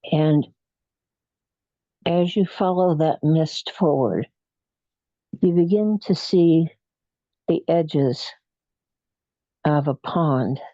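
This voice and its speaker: A meditation leader speaks very slowly in a mellow, soothing tone. Phrases come in short pieces with frequent pauses, in a hypnotic relaxation style. The recording is dry and a little muffled. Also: mid-range voice, no echo. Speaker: guided imagery meditation leader.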